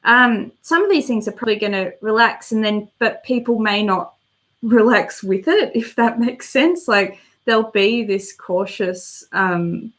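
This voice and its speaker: A woman's voice: mid-range voice, a little slow, a bit bright. A woman hosting a casual live stream and answering viewer comments, chatty and meandering as she thinks aloud, slightly congested with a worn voice.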